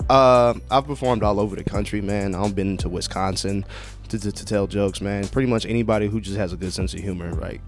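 An American man, a comedian, chatting informally into a handheld microphone, halting and repeating words. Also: on the low side, music in the background. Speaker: comedian being interviewed. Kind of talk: casual interview chat. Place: indoors against a brick wall. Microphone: handheld microphone, close.

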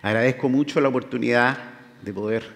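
A man's voice: medium pitch, a little fast. Chilean man lecturing in a reverberant hall. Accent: Chilean Spanish. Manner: conversational, with frequent fillers and tag questions. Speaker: guest lecturer, oceanographer. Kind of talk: public science lecture. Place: large lecture hall with audience.

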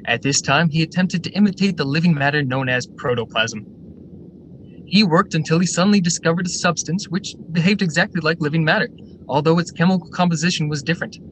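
An actor playing a brisk, eager factory general manager in a radio drama, formal and articulate. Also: male voice, natural voice. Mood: courteous. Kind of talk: stage-style radio drama acting. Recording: noisy radio drama recording, steady rumble.

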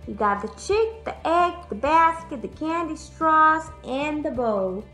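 A young woman reading a picture book aloud to young children in an expressive, teacherly way, pausing to put questions to them. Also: high voice. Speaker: children's storyteller. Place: room at home, indoors. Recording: echoey room, music in the background.

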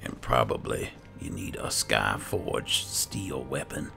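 A man's voice: a bass voice, animated, takes it slowly, gravelly, bright, forceful tone, faint music behind. A man giving casual roleplay commentary over a video game, musing and thinking aloud. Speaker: gaming video host.

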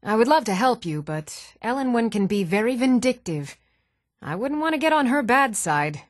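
A woman playing poised, articulate townswomen and noblewomen in a fantasy video game, with measured, formal delivery. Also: a little high, big pitch swings, no room sound, studio quality. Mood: polite, composed. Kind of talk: scripted fantasy game dialogue.

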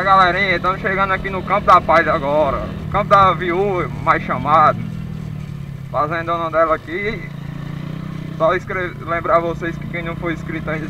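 Male motovlogger, higher-pitched, informal slangy chat, heavy noise on action-camera audio. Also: muffled recording. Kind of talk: casual vlog chat. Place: riding a motorbike on rural dirt roads, later a dim room. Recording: noisy action-camera audio, distant voice, motorcycle rumble.

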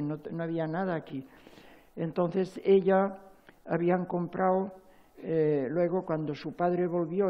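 An older man seated on a stage giving a rambling, emotional talk at a spirituality forum, full of halting restarts. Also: high voice, highs cut off. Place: large stage, seated on a chair.

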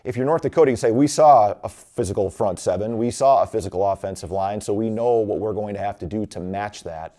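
A man's voice: lowish voice, fast talker, hardly any pauses, dark tone. A middle-aged man hosting a studio sports preview, discussing teams in a conversational analyst's style. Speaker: sports show host.

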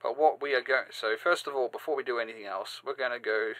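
A man hosting a step-by-step software tutorial, halting and sometimes repeating or correcting himself. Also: mid-range voice, dry recording. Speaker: tutorial host. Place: indoors, screen-recording voice-over.

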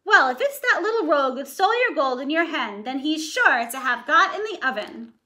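Woman as a bossy storybook ogre's wife, fairly high and scolding. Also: big pitch swings, fairly quick, bright, forceful tone, heavily acted. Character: big tall ogre's wife, sharp-tongued and scolding.

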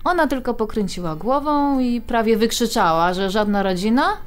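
A woman's voice: fairly high pitch, animated, normal speed, soft background music, close to an everyday voice. A woman playing an outspoken adult daughter in a naturalistic audio drama, bantering with her mother in a conversational, emphatic way. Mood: recounting, wounded.

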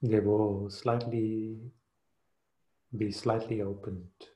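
A man guides a meditation in an even, very slow delivery. He speaks soothingly in short phrases, leaving long pauses between them. The sound is roomy, with a clear echo around his voice. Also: lowish voice, lo-fi sound. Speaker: meditation teacher.

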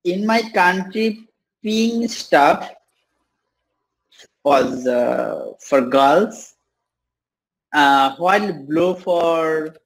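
A young man from Bangladesh, practicing English on a video call with many pauses and fillers. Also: fairly high pitch, lively intonation, gravelly, poor audio. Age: young adult. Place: small room indoors.

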